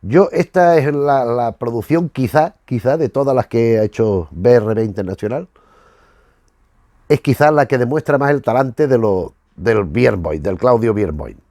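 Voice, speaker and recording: A man's voice: medium pitch, lively intonation, a little slow, dark tone, no room sound, studio quality. A man from giving casual, rambling commentary as a host, often searching for words and rewording as he goes. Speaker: YouTube host reviewing retro cartoons.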